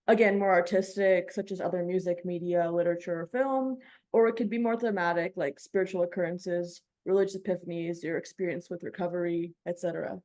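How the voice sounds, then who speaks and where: The host of a music interview show on the radio, a woman with a lowish voice, laying out carefully worded questions in measured, articulate sentences. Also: steady tone, darkish tone. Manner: measured, articulate, formal wording.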